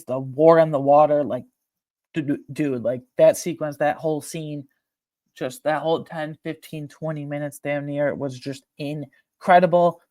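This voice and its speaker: A man's voice: a little high, darkish tone, no room sound. Casual, emphatic man in a dry room. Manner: informal, halting, emphatic fan talk with slang. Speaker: video host ranking films. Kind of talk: casual opinionated film ranking commentary.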